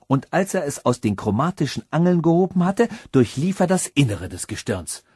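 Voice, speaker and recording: A man reads a fantastical fairy tale aloud, lifting and sinking his voice for dramatic effect. His tone is somewhat breathy and husky. The recording is close and dry, with no room sound. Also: a little high, big pitch swings, moderate pace, darkish tone, dry recording. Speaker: audiobook narrator. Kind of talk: scripted fairy-tale narration.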